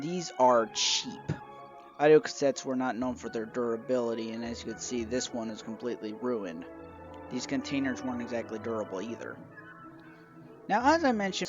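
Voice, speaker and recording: A young man giving a measured, informative show-and-tell narration as a collector reviewing his collection. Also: mid-range voice, dull audio.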